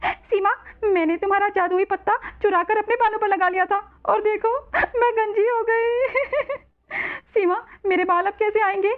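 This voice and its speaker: A woman's voice: very high-pitched, steady tone, unhurried, voice pushed up. A woman voicing a jealous, scheming, dramatic younger sister in a children's story, through a narrow phone-like recording. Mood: wailing.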